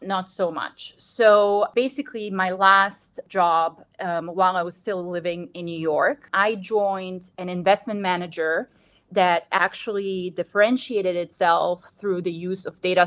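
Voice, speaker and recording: Woman in her 30s from bosnia, kinda low voice, talks careful and reflective, lots of "to be honest". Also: steady tone, fairly bright tone. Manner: measured, reflective, frequent "to be honest" and "actually". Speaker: guest. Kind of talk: interview answers.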